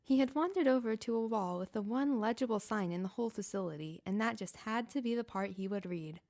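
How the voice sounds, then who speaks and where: A woman reading a fan-written story aloud in a steady, plain narrating voice. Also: a little high, fairly quick. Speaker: fanfiction reader.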